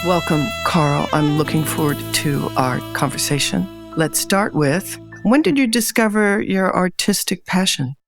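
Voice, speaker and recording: Woman podcast host with measured delivery. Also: on the low side, faint music behind. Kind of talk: scripted introduction and interview questions.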